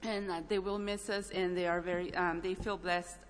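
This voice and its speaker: A woman from El Salvador giving an informal church testimony in a halting, gently earnest, emotional way. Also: lowish voice, little pitch movement. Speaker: church mission trip member giving a testimony. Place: large indoor church hall, speaking up front. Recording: echoey hall with long reverb.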